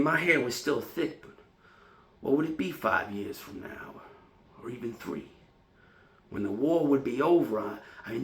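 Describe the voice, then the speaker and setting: A man's voice: medium pitch, vocal fry, sharp tone. A man performing a stage monologue as an anxious, wistful young American ex-sailor afraid of losing his youth. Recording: roomy, echoing solo room recording.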